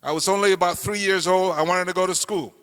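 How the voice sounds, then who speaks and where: An older man from Cameroon speaks to a crowd in a meeting hall, his voice bright, forceful and pitched a little high. He tells his story earnestly, leaning on words slowly. The sound carries a long hall reverb. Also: fairly even intonation, clean tone. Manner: earnest, storytelling, slow deliberate emphasis. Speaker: political candidate speaking at a meeting. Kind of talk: campaign speech.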